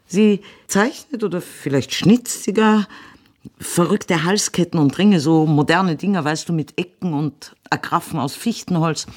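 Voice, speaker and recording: Elderly mother with swooping, animated pitch. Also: husky, heavily acted. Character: elderly, dignified, fond mother of a returning soldier. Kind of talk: German radio play acting.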